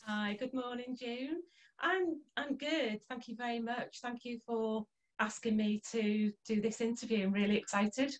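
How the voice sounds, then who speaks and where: A woman's voice: a little high, a little slow. A middle-aged woman from North Wales, born in Liverpool, telling her life story casually as an interview guest on a video call. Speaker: interview guest, holistic therapist. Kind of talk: casual interview, personal reminiscence. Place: indoors in a small room at home, on a video call. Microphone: computer microphone on a video call.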